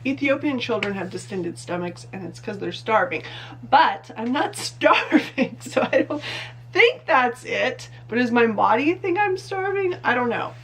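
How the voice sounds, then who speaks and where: A woman's voice: on the high side, lively intonation, a little slow. A young adult woman with a stuffy, congested voice, chatting casually and rambling through her thoughts in a diet vlog. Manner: chatty, rambling, self-questioning. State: congested from a cold. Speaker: vlogger.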